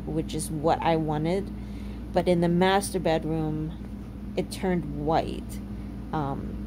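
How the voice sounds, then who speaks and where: A woman in her thirties narrating a home renovation video in a relaxed way, with many ums and uhs. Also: low-pitched, noisy background. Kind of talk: casual voice-over narration.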